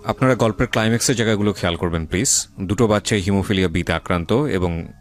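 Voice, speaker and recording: A man's voice: lowish voice, fast. A man with a Bangladeshi accent hosts a radio interview, mixing English phrases into his Bengali and steering with questions and recaps. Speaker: radio show host. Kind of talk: interviewing a guest about a life story.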